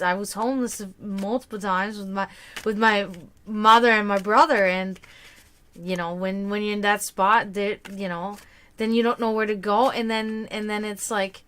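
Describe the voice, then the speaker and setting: A woman's voice: medium pitch, a bit bright. A woman in casual livestream chat, giving tarot readings, rambling and laughing often.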